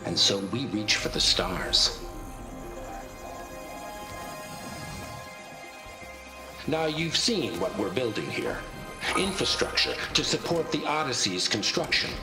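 Echoey, grandiose corporate pitchman. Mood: persuasive, urgent. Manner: grandiose, persuasive. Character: polished, grandiose corporate pitchman for space colony venture. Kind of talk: scripted promotional presentation, video game acting. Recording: echoey projected playback with music. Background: music.

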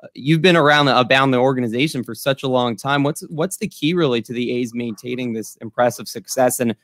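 A man's voice: medium pitch, brisk, fairly bright tone, dry recording. Young man interviewing, dry close sound. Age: young adult. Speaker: podcast host, interviewer. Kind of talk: earnest sports interview questioning. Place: small room at home, indoors.

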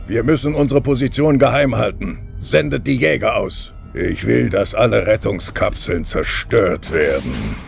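An actor voicing a ruthless, commanding villain with a gravelly voice in an audio drama. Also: male voice, on the low side, lively intonation, unhurried, few pauses, faint music behind, exaggerated voice. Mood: cold, commanding.

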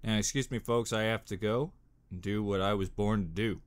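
A man's voice: lowish voice, normal speed, a little hiss or hum. A young man giving casual, joking reaction commentary into a desktop microphone in his bedroom. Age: young adult. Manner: animated, joking. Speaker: reaction video host. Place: small cluttered bedroom. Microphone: desktop condenser microphone.

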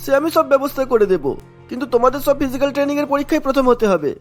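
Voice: high voice, animated, fast. An actor voicing a helpful male army training officer in a cartoon, firm and reassuring. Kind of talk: cartoon voice acting. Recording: background music bed.

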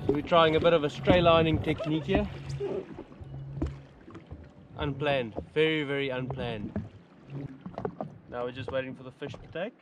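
A man's voice: a little high, fairly even intonation, brisk, highs cut off, very noisy. A young man, chatting casually as he fishes from a jet ski on the open sea and laughing often. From New Zealand. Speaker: fishing vlogger. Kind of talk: casual fishing vlog commentary. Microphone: action camera mounted on the ski.

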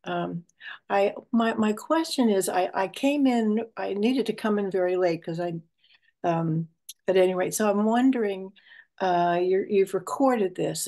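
An older woman with a low, mellow, gravelly voice. She speaks casually and politely, a bit haltingly, as a participant on a video call. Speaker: discussion participant. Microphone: computer mic on video call.

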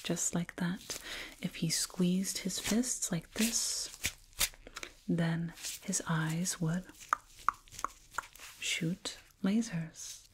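A woman whispering slowly and soothingly in an ASMR roleplay as a condescending alien dentist, close to a binaural microphone. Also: low-pitched, darkish tone, slight background noise. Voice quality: breathy whisper. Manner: slow, soothing, patronizing, deliberate.

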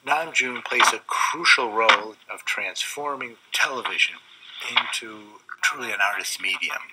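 A man's voice: mid-range voice, very noisy. Older male art expert explaining. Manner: measured, explanatory, gestures while speaking. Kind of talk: documentary interview. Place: cluttered indoor studio. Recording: loud music under the interview.

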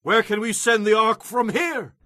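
A man's voice: high voice, normal speed, bright, forceful tone, no room sound, clean studio sound. An actor playing a frightened, pleading townsman of ancient times in a dramatized audio Bible, speaking urgently. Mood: distraught.

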